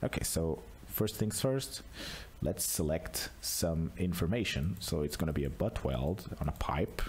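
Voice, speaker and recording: A man narrating an unscripted screencast tutorial as a software demonstrator, explaining casually step by step. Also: sits low, expressive, unhurried, slight background noise.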